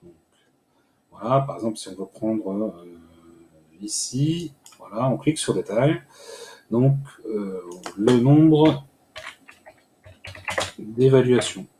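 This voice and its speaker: Man, tutorial host, mellow voice, step-by-step explaining, hesitant fillers, roomy echo, faint background noise. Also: mid-range voice, expressive, slow, pauses often. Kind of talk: screen-recorded software tutorial. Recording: echoey room with faint noise.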